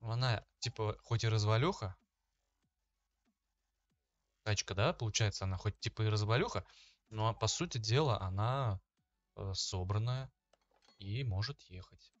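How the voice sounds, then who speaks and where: A man's voice: fairly low pitch, measured pace, long pauses, dry recording, faint music behind. A man giving casual let's-play commentary as a gaming host, talking informally and slangily as he thinks aloud. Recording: dull lo-fi microphone sound.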